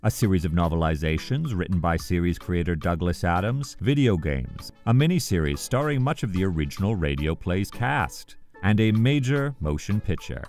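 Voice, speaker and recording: A man's voice: sits low, lively intonation, moderate pace, few pauses, darkish tone. A man hosting a scripted video countdown, narrating with enthusiasm and emphatic phrasing. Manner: enthusiastic, emphatic list-reading. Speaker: video host. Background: background music.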